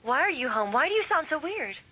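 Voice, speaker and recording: A woman plays a curious, startled teenage girl in an audiobook drama. Her voice is high and bright, with very lively intonation. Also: fairly quick, exaggerated voice. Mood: surprised, curious.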